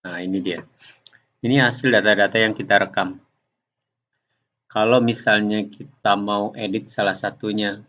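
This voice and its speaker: A man giving an unscripted software tutorial, explaining step by step in a halting way. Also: lowish voice. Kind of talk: unscripted screen-recording tutorial. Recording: dull lo-fi home recording.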